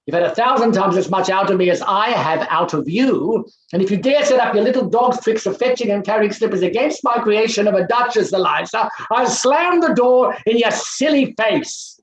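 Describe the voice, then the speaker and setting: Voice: mid-range voice, lively intonation, moderate pace, hardly any pauses, slight background noise, lightly acted. An actor playing an arrogant, overbearing middle-aged bachelor professor in a theatrical play reading, pompous and scornful, with a roomy, echoing sound. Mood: scornful, indignant.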